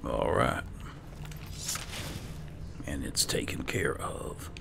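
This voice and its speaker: A man hosts a game playthrough in an extremely deep, heavily creaky voice. He talks slowly in a low-key mutter, with clear game ambience in the background. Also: animated, noisy background. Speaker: gaming video host. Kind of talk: quiet game playthrough commentary.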